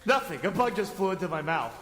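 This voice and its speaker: A man's voice: high voice, very animated, fast, a bit bright. A man playing a crazy, skinny captive wizard in a comedic audio drama, theatrical and teasing. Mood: conspiratorial, eccentric. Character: crazy skinny bearded captive wizard, eccentric last tribesman. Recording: very echoey, long stone-cell reverb.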